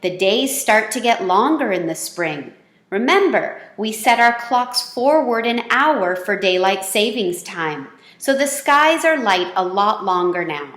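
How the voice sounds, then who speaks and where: Woman as a patient, unhurried schoolteacher. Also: medium pitch, big pitch swings, a bit bright, echoey room. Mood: instructive. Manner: measured, instructive. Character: patient, encouraging female schoolteacher.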